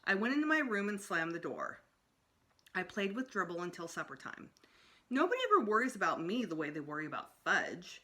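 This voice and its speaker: A woman reading a children's story aloud as storytime narrator, expressive, with emphatic pauses. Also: on the low side. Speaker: storytime reader.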